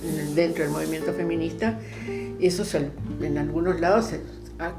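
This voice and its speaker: A woman's voice: sits low, steady tone, normal speed. A woman with an Argentine accent talks reflectively in casual conversation, searching for words as she goes. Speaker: participant in recorded conversation. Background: guitar music.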